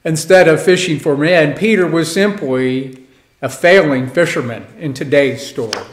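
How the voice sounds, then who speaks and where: A pastor preaching a sermon from the pulpit of a church, with slow, measured delivery and deliberate pauses. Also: male voice, a little high. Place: church sanctuary, indoors. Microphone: stand mic on pulpit. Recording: echoey church.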